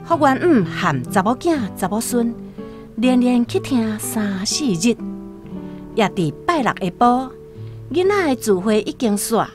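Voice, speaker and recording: Slow, very expressive Taiwanese woman narrator. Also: a little high. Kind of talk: expressive scripted story narration. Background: soft plucked-string music.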